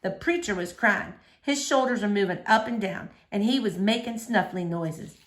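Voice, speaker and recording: A woman reading a children's novel aloud as expressive story narration. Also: mid-range voice, normal speed. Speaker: storybook reader.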